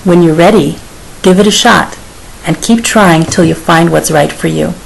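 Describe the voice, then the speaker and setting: A woman speech and dialect coach reading a scripted piece of advice in a measured, advisory tone. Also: fairly low pitch, creaky, rough recording. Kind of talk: scripted narration. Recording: faint hiss.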